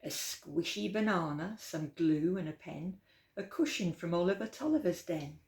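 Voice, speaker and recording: A woman reading a rhyming children's picture book aloud as a storytime host, with expressive, dramatic emphasis. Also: on the low side, moderate pace. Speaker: library storytime reader. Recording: roomy, echoey indoor sound.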